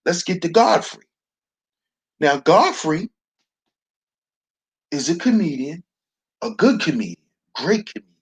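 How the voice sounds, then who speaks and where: A man with a baritone voice hosting a slow, preachy commentary monologue, calling his listeners brothers. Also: very animated, husky. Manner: preachy, addresses listeners as brothers, repeats "see". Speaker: commentary channel host.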